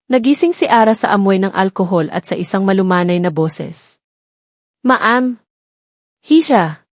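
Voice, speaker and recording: A woman's voice: mid-range voice, darkish tone, no room sound, studio quality. A woman reading a scripted dramatic story as its narrator.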